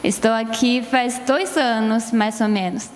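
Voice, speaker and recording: Young Chinese woman speaking slow, halting. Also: on the high side, fairly even intonation. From China. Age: young adult. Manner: halting, searching for words. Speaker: international student guest. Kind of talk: hesitant testimony. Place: church sanctuary. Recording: long church hall reverb, faint noise.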